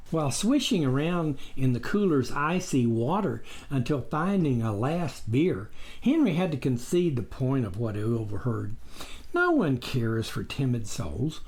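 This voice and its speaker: A man narrates a memoir like a practiced storyteller. His delivery is dramatic and expressive, with pitch that moves widely through each sentence. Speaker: author reading his own memoir. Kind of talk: scripted audiobook narration.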